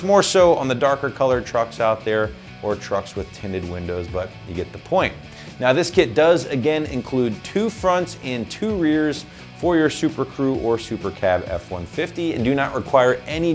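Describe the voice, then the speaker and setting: A man in his thirties presenting a scripted product review in a studio, upbeat and salesy, talking to viewers as guys and gang. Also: mid-range voice. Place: studio set with branded backdrop.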